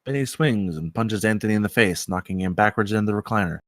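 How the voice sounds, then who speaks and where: A man's voice: lowish voice, quick pace, no room sound, studio quality. A man reading stage directions as scripted narration at a comedy screenplay table read.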